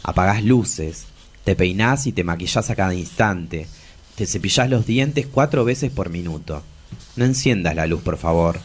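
A man's voice: fairly low pitch, slightly rough. Figure: man as theatrical, bitter travesti. Range: sweeping. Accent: Argentine. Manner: solemn, theatrical, imperious. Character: bitter, theatrical travesti in her forties, fallen diva. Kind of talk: dramatic monologue.

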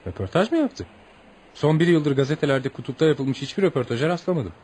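Courteous, quick-talking visiting journalist. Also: male voice, a little high, animated, darkish tone, slightly rough. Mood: intrigued. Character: courteous, patient American newspaper journalist, grown man. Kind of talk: Turkish radio theatre acting. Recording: noisy radio play recording.

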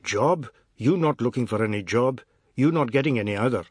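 A man's voice: mid-range voice, animated, a little slow, no room sound, studio quality. A man playing a protective, curt father in a story reading. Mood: dismissive. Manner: blunt commands, creole grammar. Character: stern, protective father, gruff and curt with workmen.

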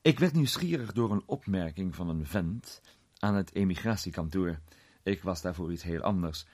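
Low, husky-voiced curious amateur sleuth. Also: male voice, animated, normal speed, darkish tone. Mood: intrigued, suspenseful. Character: curious male amateur sleuth recounting a suspenseful night adventure. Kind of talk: radio drama narration, first-person mystery storytelling.

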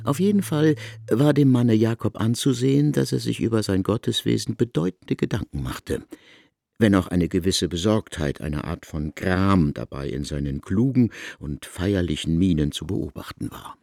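A man narrating a literary work for radio in a measured, formal storytelling manner. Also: deep voice, expressive, vocal fry, darkish tone, no room sound, clean studio sound. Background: faint music.

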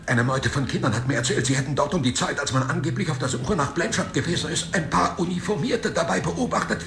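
A man's voice: a little high, bright, forceful tone, reverberant. An actor playing an agitated man in a radio drama, pleading and swearing emotionally. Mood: tense.

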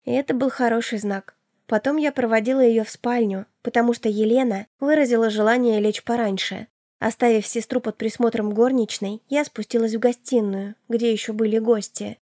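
A woman's voice: fairly high pitch, steady tone, normal speed, dark tone, no room sound, clean studio sound. A woman reading first-person fiction as a scripted audiobook narration.

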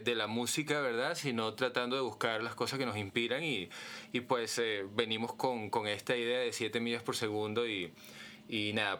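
Bright-voiced man, hesitant delivery. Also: mid-range voice, slight background noise. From Caracas, Venezuela. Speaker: guitarist, band guest. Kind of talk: casual interview chat.

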